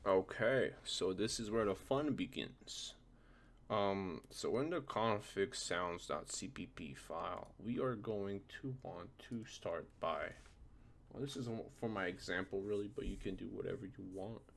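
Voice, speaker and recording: A man presenting a software tutorial informally, often checking that the listener is following along. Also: low-pitched, measured pace, gravelly, slight background noise. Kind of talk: casual screen-recorded software tutorial.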